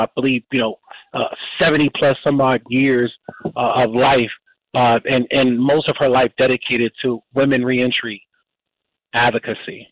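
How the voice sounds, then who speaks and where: A man's voice: mid-range voice, no room sound. Male radio host, 50s, rambling casual delivery, tinny dry phone-line sound. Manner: rambling, frequent fillers and restarts. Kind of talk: casual community radio talk. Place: Baltimore, Maryland. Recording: dry, phone-like narrow band.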